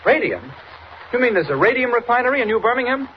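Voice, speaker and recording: An actor playing an earnest, insistent American newspaper reporter in 1940s radio drama, speaking urgently and directly. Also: male voice, extremely high pitch, sing-song, quick pace. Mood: eager, probing. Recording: muffled, noisy vintage radio recording.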